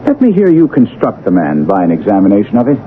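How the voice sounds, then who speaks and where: An actor playing a keen, self-assured detective with a brisk, emphatic, teasing manner in a 1970s radio drama. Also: male voice, lowish voice, huge pitch swings. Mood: amused, probing. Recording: tinny old radio broadcast, faint noise.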